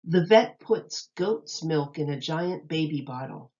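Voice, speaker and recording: Low-pitched woman reading to children, slightly muffled. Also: expressive, a little slow, darkish tone. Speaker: teacher reading a children's book aloud. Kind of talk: read-aloud of a children's nonfiction book.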